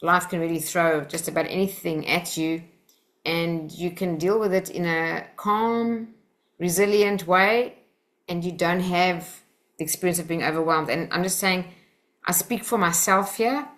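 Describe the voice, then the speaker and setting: A South African woman leads an online class over a video call. Her voice sits a little low, and she talks in a direct, encouraging, chatty way, guiding listeners step by step. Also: a little slow, a bit bright. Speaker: Silva Method instructor.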